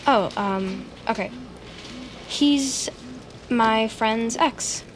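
An actress playing an eager, awkward young tech team leader, stammering and rambling earnestly in a naturalistic audio drama. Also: female voice, a little high, very slow, background noise. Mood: hesitant, wistful.

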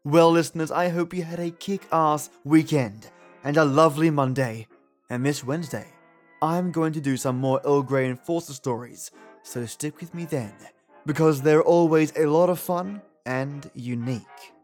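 A man hosts a podcast in a chatty, theatrical way. His voice sits slightly high and his delivery is playful. Faint music runs underneath. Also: normal speed. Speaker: podcast host. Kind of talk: chatty commentary on old radio stories.

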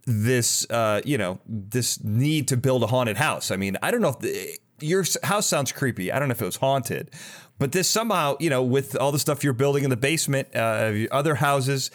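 Man, very animated intonation. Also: medium pitch, moderate pace. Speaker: podcast host, interviewer. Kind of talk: casual interview chat.

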